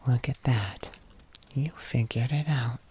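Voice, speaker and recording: An ASMR performer playing a kindly, patient locksmith, murmuring gently and unhurriedly to the listener, close to the microphone. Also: mid-range voice, slow, a little hiss or hum. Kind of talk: whispered ASMR roleplay.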